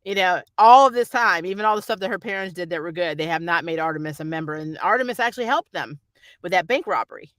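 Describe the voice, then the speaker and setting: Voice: mid-range voice, lively intonation, quick pace, a bit bright, dry recording. A vlogger chatting off the cuff in a rambling, opinionated way, like a fan giving a review. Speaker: YouTube reviewer reading and answering viewer comments.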